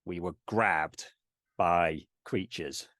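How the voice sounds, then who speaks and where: A man's voice: low voice, drawn-out words, fairly bright tone, no echo, clean studio sound, heavily acted. A man voicing a frightened, exhausted human explorer in a tabletop game, pleading and stumbling over his words. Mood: shaken.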